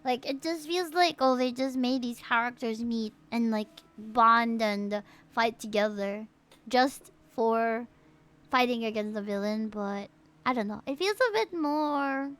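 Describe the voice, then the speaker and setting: A woman's voice: a little high, a little slow, fairly bright tone, clean tone. A woman livestreaming a game playthrough, chatty and enthusiastic, reacting casually and greeting her chat. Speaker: game streamer.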